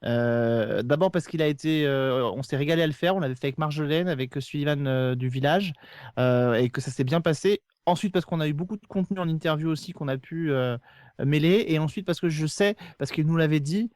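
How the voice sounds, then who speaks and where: A man with a fairly high, smooth voice talks casually on a podcast. He sounds earnest and opinionated, and the recording is close and dry. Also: fairly quick, a little mellow, no echo.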